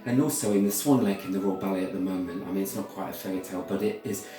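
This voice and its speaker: Theatrical British man, very echoey recording. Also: low voice. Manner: theatrical, halting, repeats words, opinionated asides. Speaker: ballet storyteller and performer. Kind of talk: rambling informal talk with storytelling. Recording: very echoey room, far from mic.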